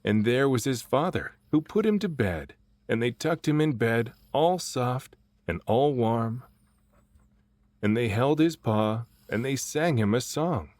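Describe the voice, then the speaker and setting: A man reading a children's picture book aloud in an expressive way, drawing out phrases for dramatic emphasis. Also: medium pitch, measured pace, no echo. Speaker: storybook reader and voice actor.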